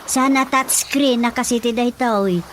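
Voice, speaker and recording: Actress as a high-voiced, teasing Ilocano woman. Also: moderate pace, a little hiss or hum, natural voice. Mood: chatty. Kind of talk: Ilocano comedy radio drama acting.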